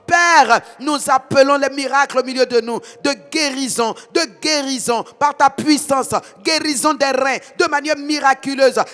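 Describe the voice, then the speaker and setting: A man's voice: very high voice, normal speed, few pauses. A man with an Ivorian French accent leading fervent prayer in a rhythmic, chanting way, repeating phrases with intensity. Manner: intense, rhythmic repetition, bursts into tongues. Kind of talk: fervent morning prayer, sing-song chanting. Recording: reverberant hall with soft music behind.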